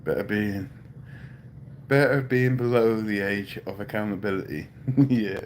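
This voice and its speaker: A man's voice: lowish voice, a little slow, slight background noise. A British man in his forties hosting a livestream, casually chatting and joking as he reads viewer comments aloud and answers them. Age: 40s. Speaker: livestream host. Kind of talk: casual live chat reading viewer comments.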